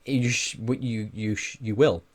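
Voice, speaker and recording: A man in a casual podcast discussion, giving opinions in an animated, sweary way with rhetorical questions. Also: fairly low pitch, a little slow, a crowd in the background. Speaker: podcast host.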